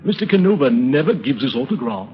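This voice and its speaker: An actor playing a stuffy, formal, slyly corrupt manservant in a 1930s radio comedy, prim and deadpan. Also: male voice, mid-range voice, fast talker, lightly acted. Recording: muffled 1930s radio recording.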